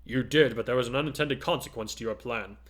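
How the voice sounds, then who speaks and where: A man's voice: medium pitch, fast, natural voice. A man voicing a giant, kindly male superhero teacher, hearty and earnest, in a story reading. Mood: serious.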